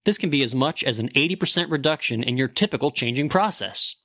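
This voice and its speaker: A man's voice: mid-range voice, animated, fast talker, a bit bright, no room sound, clean studio sound. A man reading a scripted advertisement as a sponsor announcer. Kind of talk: scripted ad read.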